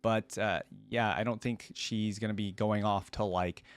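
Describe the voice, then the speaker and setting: A man's voice: on the low side, steady tone, unhurried, clear, resonant tone, no echo. A man hosting a reaction video, talking casually and rambling as he thinks aloud. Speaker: YouTube reaction host. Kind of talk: casual reaction commentary to camera.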